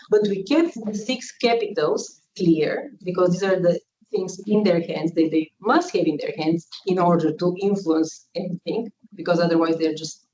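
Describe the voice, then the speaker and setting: A woman's voice: fairly low pitch, a little mellow. A woman from Bulgaria giving an academic conference talk, hesitant, with many fillers and uneven emphasis. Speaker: conference speaker, researcher. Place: conference hall. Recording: distant, dull, faint noise.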